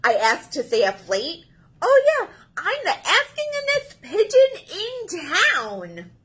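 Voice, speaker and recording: A woman's voice: extremely high pitch, wildly swooping pitch, a bit bright, voice pushed up, exaggerated voice. A woman voicing an indignant, whiny pigeon from a picture book, complaining dramatically. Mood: pleading, indignant. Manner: dramatic, exclaiming, drawn-out complaints.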